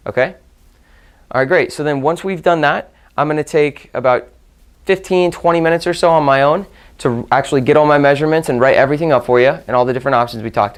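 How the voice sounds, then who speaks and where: A man's voice: mid-range voice, smooth. A man giving instructional talk as a sales trainer, repeating and rephrasing points for emphasis and demonstrating phone-call lines.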